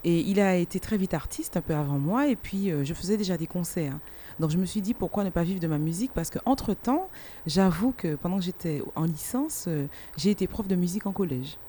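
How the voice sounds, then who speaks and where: A woman's voice: lowish voice, lively intonation, brisk, warm tone, slight background noise. A middle-aged woman with a Martinican French accent, speaking casually and emphatically as she tells her own story as an interviewed artist. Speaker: singer and musician interviewed as guest.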